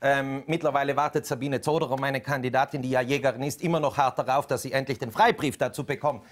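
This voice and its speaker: A man's voice: medium pitch, steady tone, fast. A man in his forties, an election candidate, making a firm, persuasive argument in a TV studio debate. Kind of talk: TV panel debate answer.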